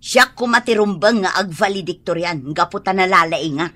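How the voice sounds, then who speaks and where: A woman's voice: lowish voice, moderate pace, bright, forceful tone. A woman acting as a resentful, scornful mother in an Ilocano radio drama. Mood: bitter. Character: resentful, scornful adult mother nursing an old grudge.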